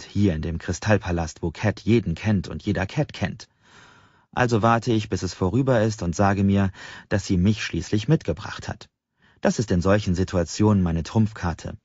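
Husky low-voiced man reading an audiobook. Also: a little mellow. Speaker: audiobook narrator. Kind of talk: scripted first-person novel narration. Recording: slightly dull, roomy sound.

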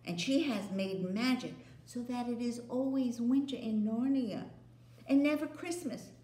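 A woman voicing a kind, excited little girl who tells things eagerly, in a roomy-sounding recording. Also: fairly high pitch, unhurried, pitched above the performer's own voice, close to an everyday voice. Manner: excited, breathless telling. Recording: roomy echo.

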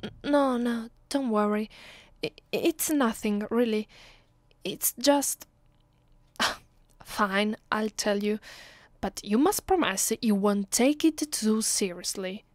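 Woman playing a slow, tender Italian-accented vampire girlfriend. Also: mid-range voice, dry recording, natural voice. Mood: loving, content. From Italy. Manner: soft, intimate, hesitant. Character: affectionate, clingy, playfully pleading young female vampire girlfriend. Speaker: voice actress. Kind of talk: ASMR girlfriend roleplay.

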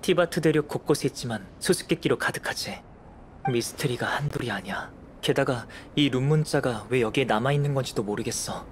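An actor playing a calm, curious young male alchemist in a game, speaking in a measured, composed, articulate way. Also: medium pitch, slight background noise, natural voice. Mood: thoughtful. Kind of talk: scripted game dialogue. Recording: music behind the voice.

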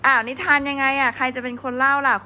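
A woman's voice: high voice, flat delivery, fast talker, pushed and bright. A woman kindergarten teacher prompting young children with simple, coaxing questions as they perform a story. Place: noisy room at a kindergarten. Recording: noisy room with children around.

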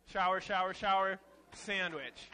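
A young man giving a playful, casual children's sermon in an animated, informal way, exclaiming as he goes. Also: high-pitched, a little slow, a little hiss or hum. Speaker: children's message leader at church. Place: church sanctuary, seated on chancel steps among children.

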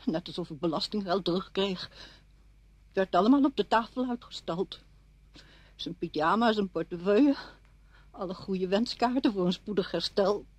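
An actress playing a bitter, grieving widow in a radio play, with brittle, sardonic and halting delivery. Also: female voice, medium pitch, darkish tone, breathy edge, no echo, lightly acted. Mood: numb, grieving. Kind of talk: Dutch radio drama acting.

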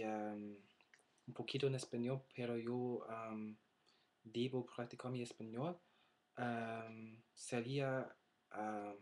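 Young man, language learner, webcam vlog from a bedroom; slow, flat, halting, lots of fillers. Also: mid-range voice. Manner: hesitant, many filler sounds, searching for words. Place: small bedroom indoors. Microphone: laptop webcam.

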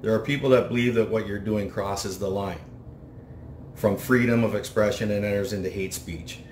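A man's voice: lowish voice, fairly even intonation, normal speed, darkish tone. A man with a Canadian accent reading formal, prepared interview questions. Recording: roomy echo, faint noise.

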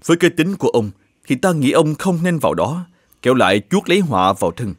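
A man's voice: on the high side, very animated, fast talker, breathy edge, no echo, studio quality. A man playing a solemn old saint who guards heaven's gate in a cartoon fairy tale, grave and admonishing. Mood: stern warning.

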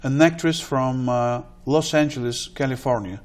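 A middle-aged man hosting a studio interview, asking slow, deliberate questions with many hesitant pauses. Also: mid-range voice, a little mellow. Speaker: talk show host. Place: TV studio with blue curtain.

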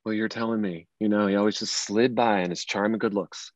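A man's voice: lowish voice, fairly even intonation, fairly quick, lightly acted. A man acting a distraught, wounded and indignant character in his late thirties in a dramatic script reading. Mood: bewildered, hurt. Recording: echoey room, slightly dull sound.

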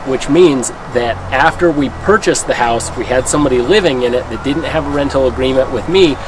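A man's voice: medium pitch, noisy background. Casual man giving a house tour. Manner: relaxed, conversational, plain-spoken. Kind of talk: casual walkthrough vlog. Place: outdoors by a house, then inside empty rooms. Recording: echoey rooms, distant mic, music underneath.

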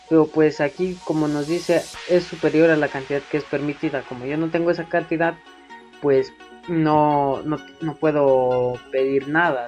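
A young man giving a casual, hesitant, improvised phone app tutorial in an informal way. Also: fairly high pitch. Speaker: tutorial video maker. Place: voice-over to a phone screen recording. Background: prominent background music.

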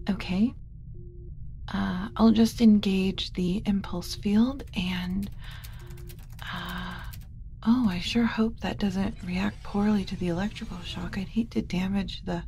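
Kind alien mother, played by a woman, flustered but tender; ASMR roleplay, soft and mellow, unhurried, slipping into whispers. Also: mid-range voice. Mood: flustered, worried. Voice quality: soft, often dropping to a whisper. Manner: gentle, cooing, hesitant muttering to herself.

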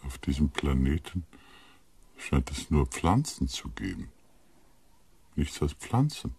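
A man playing a grave expedition scientist in a science fiction radio play, with a gravelly, husky voice. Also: rumbling low, wildly swooping pitch, slow pace, a little mellow, heavily acted. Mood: somber. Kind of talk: German radio play acting.

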